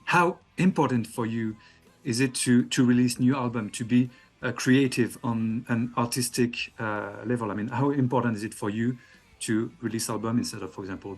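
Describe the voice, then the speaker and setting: Hesitant French-speaking man interviewing. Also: medium pitch. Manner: halting and hesitant, frequent "um" and self-corrections. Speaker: fan-club interviewer. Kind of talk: interview questions.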